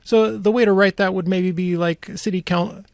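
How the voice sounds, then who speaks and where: A man hosting a podcast, chatting casually about local politics in a halting way, with thoughts that trail off. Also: high-pitched, fairly even intonation, dry recording, clean studio sound. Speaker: podcast host.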